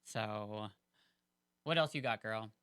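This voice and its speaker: A man's voice: on the low side, takes it slowly, fairly bright tone, clear, resonant tone, no room sound, clean studio sound. A man co-hosting a podcast, chatting casually and rambling with lots of fillers. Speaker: podcast co-host.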